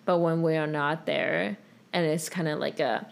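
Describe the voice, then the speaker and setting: A woman's voice: low-pitched, fairly even intonation, moderate pace, vocal fry. A young woman co-hosting a podcast, chatting casually and rambling with lots of "like". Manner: rambling, heavy use of "like". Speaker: podcast co-host. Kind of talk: casual chat.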